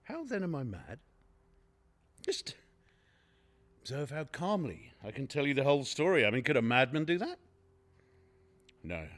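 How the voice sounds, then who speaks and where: An actor playing a nervous, unhinged madman in a gothic radio drama monologue, halting and theatrical in his delivery. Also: mid-range voice, wildly swooping pitch, fairly bright tone. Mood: nervous, defensive. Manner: halting, stammering, theatrical, swinging from whisper to outburst. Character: nervous, unhinged madman confessing a murder obsession. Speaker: narrator actor in a radio play.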